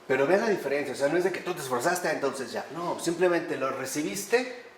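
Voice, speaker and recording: Male lecturer, animated, asks the audience rhetorical questions, echoey room with faint background hum. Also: a little high, brisk. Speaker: priest teaching a class. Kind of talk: conversational lecture on faith.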